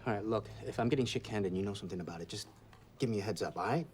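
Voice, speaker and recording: Jittery wisecracking lab tech in TV drama, slangy, rapid, slightly low voice. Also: male voice, a little mellow, breathy edge, soft background music. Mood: anxious, joking. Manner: slangy, anxious. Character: nervous, smart-aleck male forensic lab worker.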